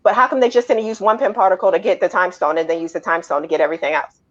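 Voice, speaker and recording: A woman's voice: on the low side, fairly even intonation, racing, fairly bright tone, muffled recording. A woman panelist on a film review show, giving her opinions in a casual, conversational way.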